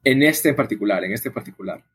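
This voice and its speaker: A man's voice: fairly low pitch, animated, quick pace, fairly bright tone, husky. A man from El Salvador, answering interview questions in a conversational, explanatory way with frequent tag questions. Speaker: interview guest, coffee event organizer.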